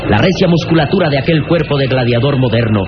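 A man narrating a 1960s radio serial in a florid, theatrical, deliberate style. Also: medium pitch, expressive. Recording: dull 1960s radio recording, loud music behind.

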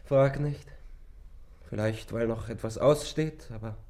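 An actor as a gravelly, mellow, world-weary lover. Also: male voice, medium pitch, a little slow, close to an everyday voice. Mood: evasive, wry. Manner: ironic, eloquent, evasive. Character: world-weary male lover, cynical and evasive. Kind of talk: German radio drama acting.

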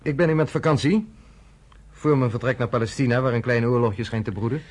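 An actor playing a sardonic, self-assured journalist in a Dutch radio drama, with a dry, conversational, probing manner. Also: male voice, medium pitch, fairly quick, lightly acted. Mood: sardonic, defiant.

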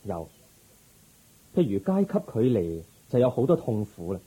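A man playing a calm, resolute young prince turned monk in a radio drama, speaking in a measured, gentle, formal way. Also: fairly low pitch, husky, low-quality recording. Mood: earnest. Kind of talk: Cantonese Buddhist radio drama acting.

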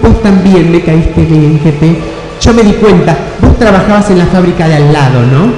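A man's voice: fairly high pitch, animated, moderate pace, natural voice. A man voicing an eccentric, sentimental young Argentine woman, coy and melodramatic, in a comic radio play. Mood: tender, confiding. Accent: Argentine, Rioplatense. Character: eccentric, sentimental young woman proud of her licking tongue. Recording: echoey hall reverb, music behind.

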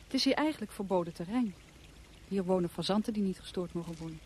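A woman's voice: on the low side, quick pace, darkish tone, a little hiss or hum, natural voice. An actress playing a forthright, nonchalant woman from a country house in a radio drama, speaking in a direct, dryly offhand way. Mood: brisk, challenging.

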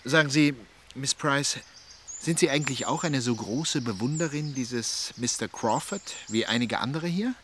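An actor playing a petulant, self-important young suitor who grumbles jealously, in a German radio play. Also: male voice, mid-range voice, expressive, normal speed, fairly bright tone, a little hiss or hum, natural voice. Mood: sulky.

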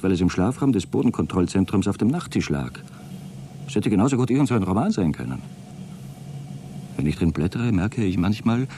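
Radio drama role: distrustful, self-important male astronaut keeping a log, low creaky delivery, rumbling noisy backdrop. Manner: pompous, indignant, wordy. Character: suspicious, aggrieved male space traveller dictating a log. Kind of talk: radio drama monologue, intimate log entry.

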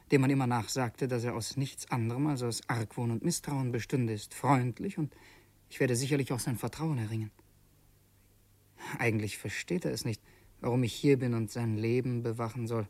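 Male actor as young revolutionary assassin, radio play, slightly husky, polite, formal, secretly scheming, measured diction. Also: mid-range voice, darkish tone, no room sound, close to an everyday voice. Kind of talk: German radio play acting.

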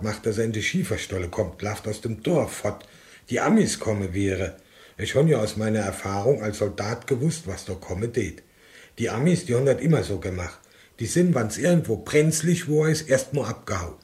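An actor as an earnest dialect-speaking village war veteran. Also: male voice, on the low side, expressive, normal speed, darkish tone, natural voice. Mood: matter-of-fact. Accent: southwestern German regional dialect. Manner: plain, colloquial, earnest. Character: earnest older village war veteran recounting his past on camera. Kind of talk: rustic spoken eyewitness recollection.

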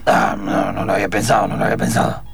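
A man's voice: fairly low pitch, little pitch movement, very fast, creaky, breathy edge, no echo, soft background music, pitched below the performer's own voice, exaggerated voice. A man with an Argentine accent playing a solemn older agent in improvised comic radio theatre. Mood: surprised, grave.

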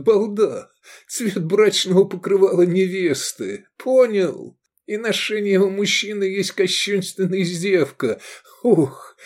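A man's voice: sits high, darkish tone, pitched above the performer's own voice. A man voicing a teasing Roman friend who gasps out explanations through laughter in an audiobook. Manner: gasping, laughter-choked explaining. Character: teasing Roman male friend, breathlessly amused.

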